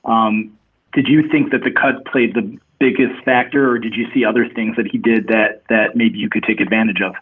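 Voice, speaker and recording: A man's voice: on the low side, steady tone, normal speed, husky. A man working as a sports reporter, asking interview questions over a telephone. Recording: muffled, narrow phone line.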